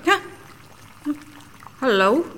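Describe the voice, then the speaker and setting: A woman acting in an audio drama as a blunt, anxious prisoner who catches every lie, speaking in a curt, deadpan way. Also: sits high, very slow, exaggerated voice. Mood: weary, worried.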